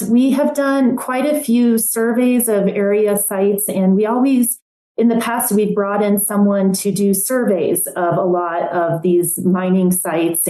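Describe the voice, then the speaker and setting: An echoey recording of a woman being interviewed, her voice mellow and middling in pitch. She explains things conversationally, like a museum professional talking about her work. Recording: roomy, echoey sound.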